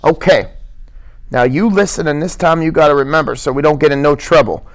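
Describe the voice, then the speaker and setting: A man reading aloud and voicing a small, gruff working man who scolds and grumbles with irritation. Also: on the high side, brisk, close to an everyday voice. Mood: gruff, scolding. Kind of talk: audiobook character reading.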